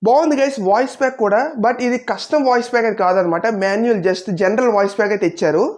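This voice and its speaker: Fast-talking woman, casual gaming host. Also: on the high side, a little mellow. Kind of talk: casual gameplay commentary to viewers.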